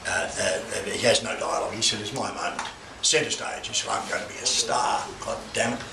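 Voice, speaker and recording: An older man opens an exhibition with a rambling speech full of stumbles and crude asides. He is heard from a distance in an echoey room, with clear noise behind him. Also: on the low side, fairly even intonation. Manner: halting, full of restarts, bawdy asides. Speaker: guest speaker opening an art exhibition. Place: gallery with a crowd. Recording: echoey room, far from the mic, noisy.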